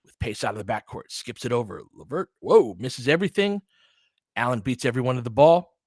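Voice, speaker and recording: A man's voice: medium pitch, lively intonation, a little fast, a little mellow, no echo. Man calling basketball, dry close sound. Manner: clipped phrases, casual partisan asides. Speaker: fan play-by-play commentator. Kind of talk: live basketball play-by-play commentary.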